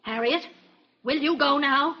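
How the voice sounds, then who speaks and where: A woman's voice: high voice, unhurried. An actress playing a self-righteous, scolding older mother in a radio drama, preaching in judgment. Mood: alarmed. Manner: preachy, reciting scripture in judgment. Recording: dull band-limited radio drama recording.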